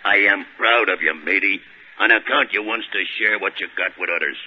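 An actor playing a gruff, good-hearted sailor with a gravelly voice and salty, ungrammatical talk in 1930s radio comedy. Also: male voice, low-pitched, brisk, slight background noise, lightly acted. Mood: proud, hearty. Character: gruff, good-hearted, muscle-bound old-time sailor man. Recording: muffled old 1930s radio recording.

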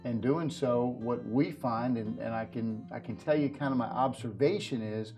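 A middle-aged American man, a guest farrier, explaining things earnestly in a wordy, halting way in an interview. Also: medium pitch, darkish tone, faint music behind. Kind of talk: explanatory interview talk about horse nutrition products. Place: indoor video set at a display table.

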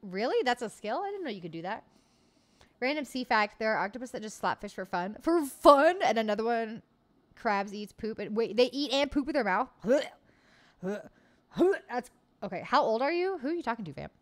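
A woman's voice: fairly high pitch, big pitch swings, normal speed. A woman in her late twenties streaming from home, chatting casually with her viewers in a loose, cheerful way and swearing freely. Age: late 20s. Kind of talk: casual chat with live chat. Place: home room, indoors. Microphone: desk mic on arm, headset.

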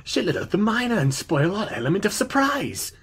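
A man voicing a self-important, excitable Old West sheriff in a theatrical fan comic dub. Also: sits high, a little fast, noisy background. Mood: excited.

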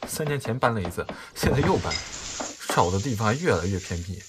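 Actor as chatty low-voiced friend. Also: male voice, lively intonation, fairly quick, close to an everyday voice. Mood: casual. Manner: casual, chatty. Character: chatty, familiar male friend visiting. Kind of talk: Mandarin audio drama acting. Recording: clear noise and clatter behind.

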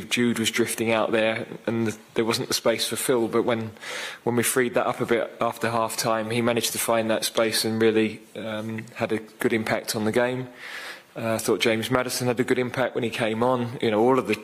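Low flat-voiced football manager, slow and careful, lots of ums, desk mic at a presser. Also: male voice. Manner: measured, thoughtful, many fillers and restarts. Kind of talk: post-match press conference answers. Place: press conference room. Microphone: desk microphone.

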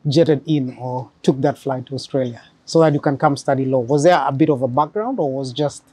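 A man's voice: mid-range voice, brisk, warm tone, breathy edge, no room sound. A man interviewing a guest, asking curious, eager questions.

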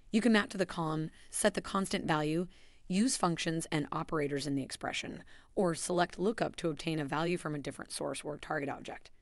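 Low, gravelly woman narrating a tutorial. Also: no echo, studio quality. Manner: even, instructional delivery. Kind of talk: scripted screencast voice-over.

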